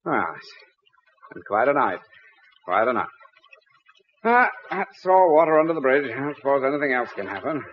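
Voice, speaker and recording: A man's voice: mid-range voice, very animated, normal speed, pauses often, a little hiss or hum, natural voice. An American man acting a flustered, put-upon middle-aged father who sputters and blusters in a 1940s radio comedy. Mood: contented, relaxed. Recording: muffled vintage 1940s radio broadcast.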